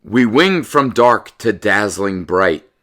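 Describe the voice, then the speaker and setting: A middle-aged American man, a teacher speaking to young children with animated, exaggerated emphasis. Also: lowish voice, slow pace. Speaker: teacher reading stories aloud to young pupils. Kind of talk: casual chat to children, then storybook read-aloud. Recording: echoey room, a bit far from mic.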